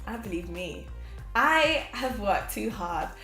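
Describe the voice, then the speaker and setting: A woman in her twenties giving casual, energetic motivational talk to a camera as host, seated in a small room. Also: mid-range voice, slow pace. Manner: energetic, expressive, gestures a lot, laughs often. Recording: roomy echo with faint background music.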